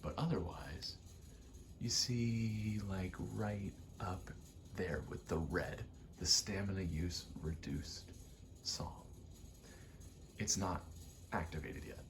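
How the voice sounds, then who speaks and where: A man rambling casually in a soft ASMR style over video game play, often slipping into a whisper and thinking aloud in short, halting pieces. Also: deep voice, slight background noise. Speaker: ASMR creator, gamer; actor and stage combatant.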